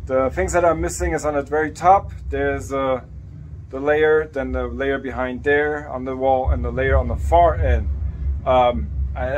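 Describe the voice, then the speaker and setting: A man in his thirties with a raspy voice from coughing, chatting casually to a phone camera held at arm's length in a cluttered indoor workshop. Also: medium pitch, a little hiss or hum, rough recording. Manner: chatty, explaining as he points around. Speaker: farm vlogger.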